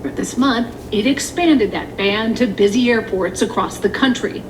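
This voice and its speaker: Female news correspondent over clear background noise. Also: fairly high pitch, moderate pace. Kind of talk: scripted news narration.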